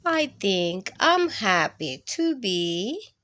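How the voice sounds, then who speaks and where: A woman's voice: medium pitch, big pitch swings, extremely slow, a bit bright, voice pushed down, exaggerated voice. A woman voicing a small child who insists she is big, strong and brave, with a boastful, childlike manner.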